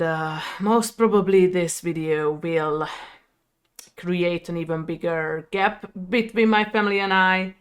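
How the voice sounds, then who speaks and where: Slow-paced Hungarian woman with a clear voice. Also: on the low side. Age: 30s. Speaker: video creator. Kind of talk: personal storytime to viewers.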